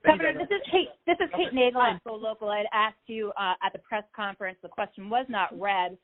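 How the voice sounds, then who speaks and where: A woman's voice: on the high side, fairly quick. Woman reporter on a tinny phone. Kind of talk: challenging question on a press call. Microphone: phone line. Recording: tinny phone conference line.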